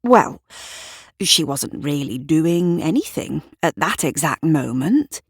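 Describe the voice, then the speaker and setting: A woman's voice: deep voice, very animated, slow pace, husky, dry recording, heavily acted. A nosy, self-assured neighbour, acted by a woman storyteller, gives her statement in an audio drama. She speaks up emphatically with gossipy certainty, recorded dry and without room sound. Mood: certain, defensive.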